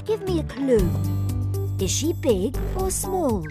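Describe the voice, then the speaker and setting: A woman voicing a gentle, friendly, curious female pony in a children's cartoon. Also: sits high, sing-song, slow pace, music in the background, exaggerated voice. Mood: easygoing, guessing.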